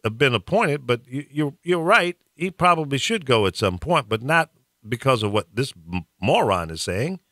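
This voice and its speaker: Man, blunt talk-radio ranter: animated delivery, dry close sound. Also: mid-range voice, moderate pace, no room sound. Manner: blunt, fiery, stammers and repeats words. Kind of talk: political rant on talk radio.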